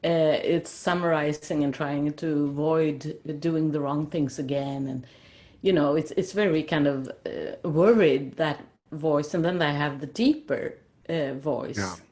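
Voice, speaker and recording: Female host whose first language is Swedish, low pitch, earnest and thoughtful. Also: unhurried. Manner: earnest, thoughtful, restarts words, laughs softly. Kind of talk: casual interview chat.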